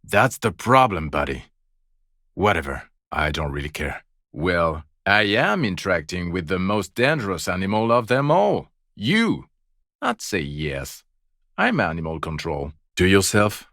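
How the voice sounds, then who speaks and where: A jaded video game police detective with a very deep voice, recorded close and dry. He is sardonic and exasperated, delivering his foul-mouthed scorn with biting sarcasm. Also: sing-song, unhurried, a bit bright, no room sound, full character voice. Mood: bitter sarcasm. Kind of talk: video game character voice acting.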